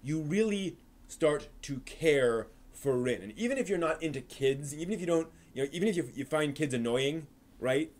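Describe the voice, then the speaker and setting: A man's voice: fairly high pitch, animated, normal speed, a little mellow. A man hosting a live stream, giving a casual, off-the-cuff review and often rephrasing himself as he explains.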